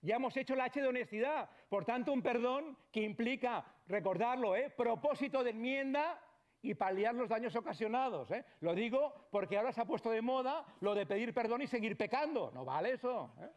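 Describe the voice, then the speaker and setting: A middle-aged man from Barcelona giving a conversational lecture to doctors, often checking in with the audience and letting his voice trail off quietly. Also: extremely high pitch, animated, brisk. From Barcelona, Spain. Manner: conversational, frequent tag questions, trails off quietly. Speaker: psychologist giving a talk. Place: lecture hall.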